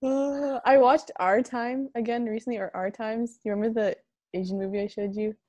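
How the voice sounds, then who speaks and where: Mellow-voiced young woman podcaster. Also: fairly high pitch, steady tone, dry recording, muffled recording. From United States. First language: Punjabi. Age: 20s. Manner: chatty, quick, laughing often. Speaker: podcast co-host. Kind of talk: casual chat.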